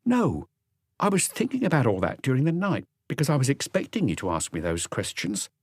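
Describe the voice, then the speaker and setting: A man's voice: medium pitch, huge pitch swings, fairly quick, a little mellow, breathy edge, dry recording, studio quality, voice pushed up, heavily acted. A man voicing a young, affable female concierge in an audiobook, polite, chatty and matter-of-fact. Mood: earnest. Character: young, affable woman concierge, helpful and soft-spoken. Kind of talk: audiobook character dialogue.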